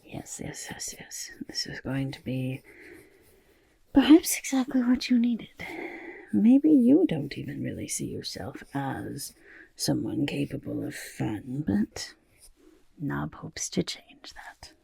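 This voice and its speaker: Casting: smug oddball goblin painter for ASMR roleplay; dark raspy tone, swooping intonation, halting mutters and whispers. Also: female voice, a bass voice, sing-song, no room sound, exaggerated voice. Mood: encouraging, mischievous. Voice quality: raspy, gravelly, often dropping to whispers. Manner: halting, muttering, refers to self in third person. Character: smug, chaotic goblin portrait artist, eager and odd. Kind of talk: ASMR roleplay, personal attention.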